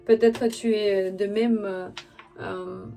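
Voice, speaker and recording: A woman who is not a native French speaker gives an intuitive tarot reading straight to the listener, halting as she searches for words. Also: mid-range voice, fairly even intonation, vocal fry, warm tone, faint music behind. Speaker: spiritual tarot reader and channel host.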